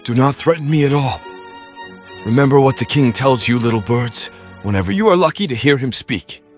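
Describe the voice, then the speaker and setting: A man's voice: mid-range voice, animated, moderate pace, natural voice. A man playing a pompous, condescending owl politician with a menacing streak in an audio play. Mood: grandiose warning. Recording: music behind the voice.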